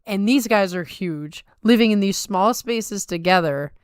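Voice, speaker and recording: A woman's voice: medium pitch, a little slow, clean tone, dry recording, studio quality. A middle-aged woman co-hosting a sports talk show, giving casual, emphatic and colloquial commentary. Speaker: sumo talk show co-host. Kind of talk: casual chat with opinionated commentary.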